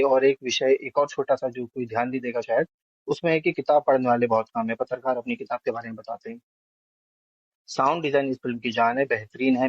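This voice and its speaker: A man's voice: medium pitch, dry recording. A man giving a spoken film review as a panelist, going through it point by point. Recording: dry, slightly dull lo-fi audio.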